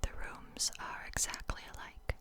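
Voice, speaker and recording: A woman's voice: a little slow, lightly acted. Woman reading as a nervous, whispering woman guest. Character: shy, nervous, self-doubting woman guest.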